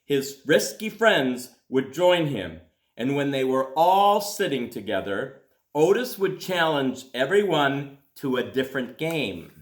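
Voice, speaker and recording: A man reading a children's storybook aloud in a deliberate, expressive way with dramatic pauses. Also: mid-range voice. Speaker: school principal reading aloud. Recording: echoey room.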